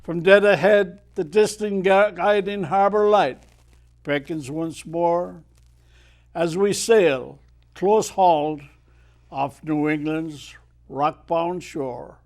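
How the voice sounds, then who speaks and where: An elderly Irish man with a fairly high voice speaks slowly and with care. He tells stories in an unhurried way, then recites verse with swelling emphasis. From Ireland. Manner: measured storyteller who declaims verse with swelling emphasis. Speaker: guest, retired merchant mariner and poet. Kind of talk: unhurried storytelling and poetry recitation.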